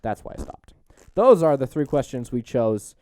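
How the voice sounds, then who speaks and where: A man's voice: medium pitch, big pitch swings, normal speed, warm tone, no echo. A young man hosting a podcast in casual, jokey chat, often breaking into laughter. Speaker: podcast host.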